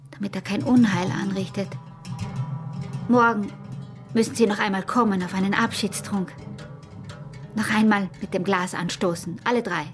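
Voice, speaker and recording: An actress playing a scheming, seductive peasant wife in a radio drama, with a husky, breathy voice and an Austrian rural accent. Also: female voice, medium pitch, lightly acted. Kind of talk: intense radio drama acting in rural dialect.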